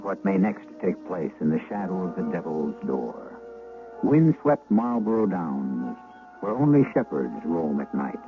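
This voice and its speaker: A man narrating as host of an old-time radio suspense drama in a theatrical, ominous manner. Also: sits low. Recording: narrow, tinny old radio broadcast.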